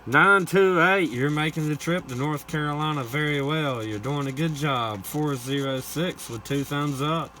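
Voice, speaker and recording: Loud static surrounds an American man at a CB radio. His voice sits a little high. He gives repetitive, formulaic call-outs in operator jargon. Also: moderate pace, hardly any pauses. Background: loud radio static and noise.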